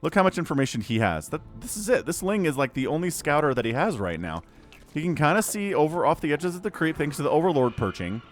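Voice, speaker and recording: A man casting a strategy video game match solo, excitable and jokey, asking himself questions and reacting as plays unfold. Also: on the high side, fast, faint music behind. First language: English. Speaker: esports caster. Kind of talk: solo play-by-play commentary.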